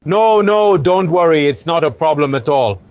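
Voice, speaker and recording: A man's voice: a little high, normal speed, no echo. A man chatting casually as a travel vlogger, a bit congested, talking into a noise-cancelling clip-on mic in a busy airport. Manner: relaxed, chatty, explaining as he goes. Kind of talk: casual travel vlog chat. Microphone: wireless clip-on mic with noise cancelling.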